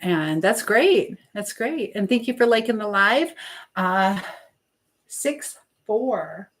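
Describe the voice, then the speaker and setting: A woman's voice: medium pitch, sing-song, slow, a little hiss or hum. A middle-aged American woman hosting a casual live stream, chatting in a rambling way as she answers viewers and drifts between asides.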